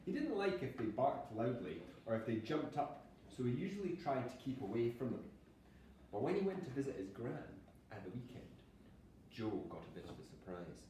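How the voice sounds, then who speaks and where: A man's voice: medium pitch, very animated, moderate pace, mellow. A man teaching a primary school class, explaining words simply and patiently and reading short examples aloud, with encouragement for the pupils. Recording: echoey classroom, distant mic, faint noise.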